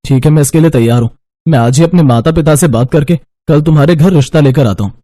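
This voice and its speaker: A man's voice: a little high, fast, mellow, slight background noise, natural voice. A man playing a devoted young rich businessman in love in a cartoon story, earnest and reassuring. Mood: earnest, resolved.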